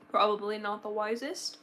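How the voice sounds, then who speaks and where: A woman's voice: mid-range voice, fairly even intonation, measured pace, sharp tone. A young woman in her late teens chatting casually on a livestream, bubbly and rambling, her voice a little worn. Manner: bubbly, gushing, rambling with many fillers. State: slightly losing her voice. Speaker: livestream host.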